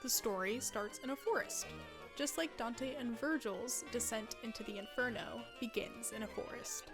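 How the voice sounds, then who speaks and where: Female video essayist, twenties, slightly high voice, chatty and wry delivery over light music. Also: huge pitch swings, moderate pace. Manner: conversational, wry asides. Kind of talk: casual scripted narration.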